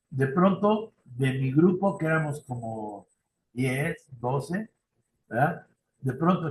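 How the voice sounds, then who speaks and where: A man's voice: medium pitch, roomy sound, slight background noise, rough recording. A middle-aged man with a Mexican Spanish accent leading a youth ministry training session, talking conversationally with frequent tag questions and personal anecdotes. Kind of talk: online formation talk. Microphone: video call.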